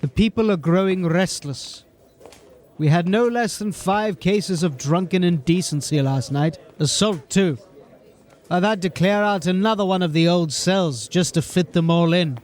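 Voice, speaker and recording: A man voices a polished watch captain who talks in crisp, formal phrases. His voice sits a little high for a man. It is an audio drama performance. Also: measured pace, a little mellow. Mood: composed, formal.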